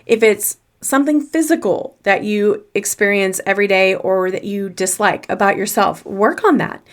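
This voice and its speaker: A woman with a mid-pitched voice and a faint Southern US accent talks straight to her listeners on a motivational podcast. She coaches in an earnest, encouraging way and drives her points home by repeating key phrases. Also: normal speed. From Southern United States. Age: young adult or older. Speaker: podcast host and confidence coach. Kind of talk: motivational solo podcast talk.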